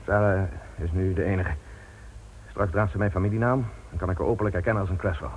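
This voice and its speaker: A man acting a wealthy, imperious older village patriarch in a radio drama, guarded, haughty and self-justifying, with a raspy voice. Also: low-pitched, steady tone, quick pace, a little mellow, slight background noise, lightly acted. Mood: confessing, defensive. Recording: dull lo-fi radio drama recording.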